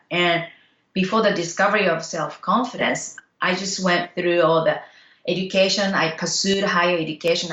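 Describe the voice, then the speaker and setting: A woman's voice: fairly low pitch, fairly bright tone, reverberant, muffled recording. A middle-aged woman from Japan with a Japanese accent, speaking as an interview guest in an earnest, reflective way. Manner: earnest, reflective, simple English phrasing. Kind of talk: casual interview talk.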